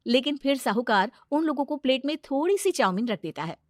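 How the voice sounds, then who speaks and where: A woman tells a scripted moral tale as its narrator. Her voice sits fairly high and the sound is dry and close. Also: expressive, a little fast, no echo. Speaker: story narrator.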